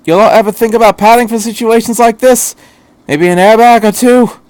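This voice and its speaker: A man voicing an eccentric, flippant, fast-thinking male adventurer in a story reading, chattering breezily with little hesitations. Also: extremely high pitch, a little mellow, no echo, voice pushed up. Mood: wry, unbothered. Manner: hesitant filler-laden chatter, breezy understatement.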